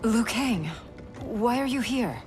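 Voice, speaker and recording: A woman's voice: on the high side, lively intonation, takes it slowly, over music. A woman playing a determined, persuasive warrior princess in a video game, poised and formal. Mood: resolute.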